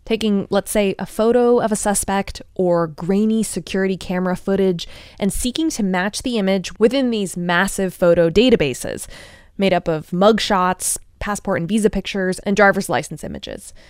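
A woman's voice: mid-range voice, moderate pace, darkish tone, clean tone, dry recording, clean studio sound. An American woman hosting a science podcast in a conversational, explanatory way with emphatic phrasing. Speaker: podcast host and reporter.

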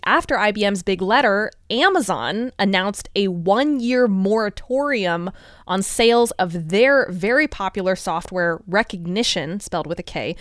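A woman's voice: mid-range voice, lively intonation, moderate pace, no room sound. Dry, close-sounding American woman podcast host. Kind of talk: conversational science podcast narration.

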